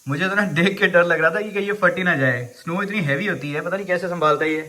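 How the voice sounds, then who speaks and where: Man, fairly high voice, bright and forceful, casual family chat, dry teasing. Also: quick pace. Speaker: husband in family vlog.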